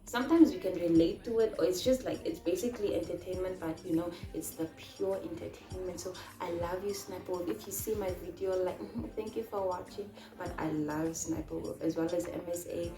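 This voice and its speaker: A woman's voice: lowish voice, brisk, hardly any pauses, warm tone, reverberant, faint music behind. A woman in her twenties from Namibia, answering viewer questions in a casual, chatty vlog and telling stories with many fillers. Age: 20s. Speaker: vlogger.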